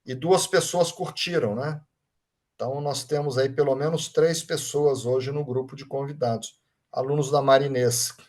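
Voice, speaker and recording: A man's voice: medium pitch, fairly even intonation, normal speed. A man with a Brazilian Portuguese accent, a Reiki master leading an online study group, reading out chat comments and thanking people by name in an informal way. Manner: warm, informal, often adds tag questions like "right?".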